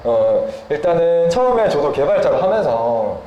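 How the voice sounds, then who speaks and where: A young man gives casual interview answers in a fairly high but soft-edged voice with lively intonation. The room echoes and there is some background noise. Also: moderate pace. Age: young adult. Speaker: interviewed guest, software developer and startup founder.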